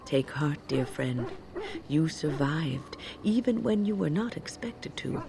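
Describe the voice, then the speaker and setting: An actress playing a kindly, wise older female mage in a fantasy video game, speaking gently in measured, consoling phrases. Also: sits low, expressive, creaky, noisy background, exaggerated voice. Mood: gentle, consoling.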